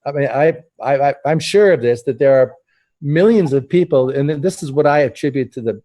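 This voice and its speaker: A man hosting a casual interview, talking earnestly with halting starts and repeated words. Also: mid-range voice, lively intonation, warm tone. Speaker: host.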